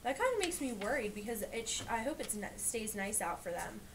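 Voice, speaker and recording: A woman reading a picture book aloud to young children, pausing to explain and point things out. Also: fairly high pitch, sing-song, noisy background. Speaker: reader teaching young children.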